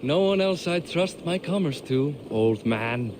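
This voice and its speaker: A man's voice: a little high, lively intonation, a crowd in the background. A boastful Viking king. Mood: hearty. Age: middle-aged. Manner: hearty, proud, quick to anger. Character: boastful middle-aged Viking king of Dublin. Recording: game cutscene with music.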